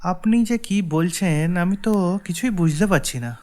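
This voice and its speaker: A man's voice: high-pitched, normal speed, darkish tone, natural voice. A man voicing a humble, aggrieved village husband in a cartoon, speaking in a deferential, earnest, pleading way. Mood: meek, puzzled. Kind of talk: cartoon voice acting.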